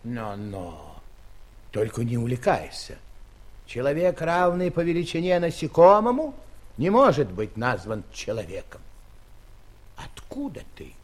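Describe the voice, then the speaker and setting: A man's voice: mid-range voice, wildly swooping pitch, a little slow. An actor playing a pompous, mocking giant king with an ironic drawl in a children's audio play. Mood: sceptical wonder.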